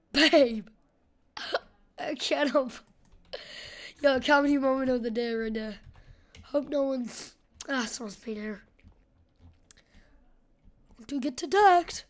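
A young woman with a scratchy voice giving casual, rambling, slangy gameplay commentary for a video. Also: high voice. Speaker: gaming video host.

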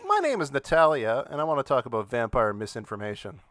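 A man's voice: medium pitch, huge pitch swings, quick pace, fairly bright tone, no room sound, studio quality. A man reading aloud on a casual live comedy podcast, dropping in wry asides. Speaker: podcast panelist and reader.

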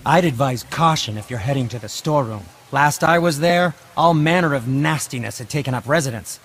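An actor playing a fastidious, chatty dwarf merchant in a video game, theatrical, precise and a little nervous. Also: male voice, medium pitch, fairly bright tone, slight background noise, lightly acted. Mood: eager, polite.

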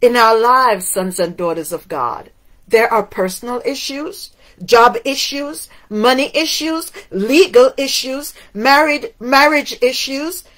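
A woman's voice: a little high, a bit bright. A middle-aged woman from Cuba whose first language is Spanish, preaching a sermon to camera in an emphatic way, asking many rhetorical questions. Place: indoors in front of a waterfall backdrop.

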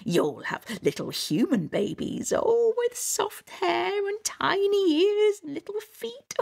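A woman's voice: very high voice, very animated, slow pace, gravelly, darkish tone, voice pushed up, exaggerated voice. Woman voicing a doting, bossy, swooping troll mother. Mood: amused, insistent.

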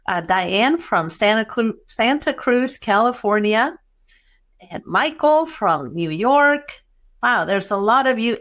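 A middle-aged woman from Chile with a Chilean Spanish accent touched by Texan, hosting a bookkeeping webinar over a video call in a welcoming way with many fillers. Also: a little high. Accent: Chilean Spanish with some Texan. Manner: warm, welcoming, with frequent fillers. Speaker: webinar host and bookkeeping educator. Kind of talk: webinar presentation.

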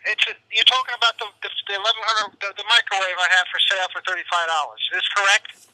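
Sharp, high-voiced man on the phone. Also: steady tone, fast talker, dry recording, poor audio. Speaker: private seller taking a phone call. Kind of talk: casual phone conversation. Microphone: telephone.

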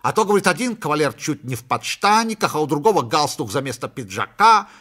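A man's voice: a little high, big pitch swings, fast talker, fairly bright tone. A man voicing a prim, indignant woman who huffs and complains in a comic story reading. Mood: huffy. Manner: huffy, complaining. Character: indignant prim woman companion, scolding and embarrassed.

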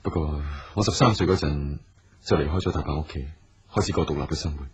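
An actor playing a patient, persistent businessman in a radio drama, speaking calmly and earnestly. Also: rumbling low, quick pace, breathy edge, lo-fi sound, exaggerated voice. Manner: calm, earnest and probing.

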